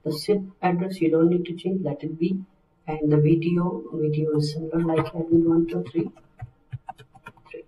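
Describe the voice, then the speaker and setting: A man narrating a software tutorial as a technical instructor, step by step with halting phrasing. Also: on the high side, little pitch movement. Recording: roomy, distant microphone.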